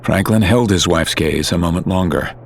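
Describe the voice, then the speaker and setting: A man narrating a story in a calm, measured, scripted way. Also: low voice, a little hiss or hum. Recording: music under narration.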